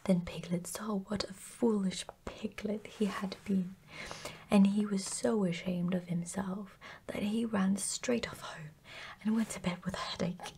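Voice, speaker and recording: A woman reading a children's bedtime story in a hushed, gentle, unhurried ASMR whisper. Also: mid-range voice, fairly even intonation, a little hiss or hum.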